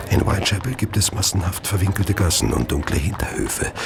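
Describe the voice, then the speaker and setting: An actor playing a coldly contemptuous gentleman serial killer in a radio play, speaking in a deliberate, theatrical and sinister way. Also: extremely low pitch, creaky, very noisy, exaggerated voice. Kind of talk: radio play narration and dialogue.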